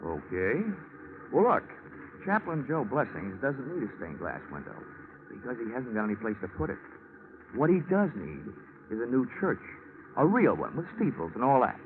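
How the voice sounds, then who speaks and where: A man's voice: mid-range voice, huge pitch swings, normal speed, noisy background. An actor playing a wisecracking, laconic American insurance investigator narrating his case in 1940s radio detective style. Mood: dry, casual. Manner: wry, laconic, hard-boiled. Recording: muffled vintage radio recording with music.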